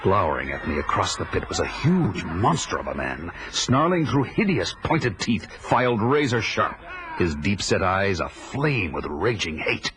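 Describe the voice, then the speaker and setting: A man's voice: low-pitched, very animated, a little slow, a bit bright, full character voice, rough recording. A man playing a grim, vengeful barbarian warrior who recounts his life in grave, dramatic audio drama narration. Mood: tense, grim.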